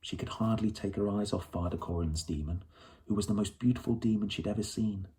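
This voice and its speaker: A man's voice: lowish voice, fairly even intonation, fairly quick, warm tone. A man reading a storybook aloud as narrator. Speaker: audiobook reader.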